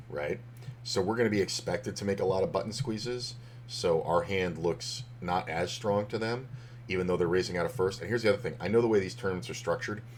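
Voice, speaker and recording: Deep-voiced, slightly hoarse man streamer. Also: a little hiss or hum. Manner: rambling, loose, with many fillers and restarts. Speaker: poker streamer. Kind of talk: casual commentary on poker hands.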